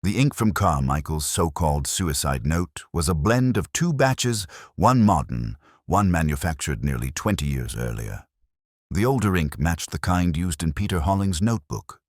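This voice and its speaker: A man narrating a scripted first-person detective drama in a measured, solemn, literary manner. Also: low voice, sing-song, darkish tone, no room sound, studio quality.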